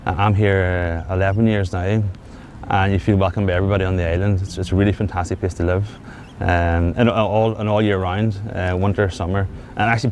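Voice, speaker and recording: A man who lives on a remote island, speaking in an interview with halting enthusiasm and restarts. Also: on the low side, a little mellow, a little hiss or hum. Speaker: island resident.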